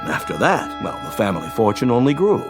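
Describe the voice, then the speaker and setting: A man acting in a radio drama as an urbane, self-satisfied rich gentleman, polished and leisurely. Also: fairly low pitch, very animated, fairly quick, over music. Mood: smug, breezy. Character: smug, urbane, very rich inherited-money clubman.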